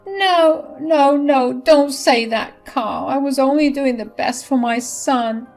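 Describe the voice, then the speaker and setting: A woman voicing a desperate, scheming middle-aged mother-in-law who pleads in a high, loud voice. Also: slow pace, faint music behind. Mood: desperate pleading.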